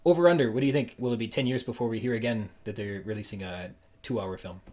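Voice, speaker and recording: A man hosting a podcast interview in a casual, halting, rambling way with a playful, joking streak. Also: lowish voice, darkish tone. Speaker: podcast host interviewing a guest.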